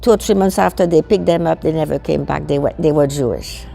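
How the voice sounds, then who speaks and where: An elderly woman from Belgium whose first language is French, speaking English with a Belgian French accent. Her voice is low, dark and gravelly. She searches for words and repeats herself as she recalls her childhood. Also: a little fast, soft background music. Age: 80s. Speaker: guest. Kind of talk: recounting childhood memories.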